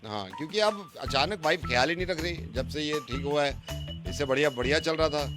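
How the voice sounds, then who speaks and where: A man's voice: on the high side, fairly quick, a bit bright, faint music behind, close to an everyday voice. A man playing a pestering, aggrieved husband with a rambling, mock-earnest manner on a prank phone call. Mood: insistent, aggrieved. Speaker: radio prank caller.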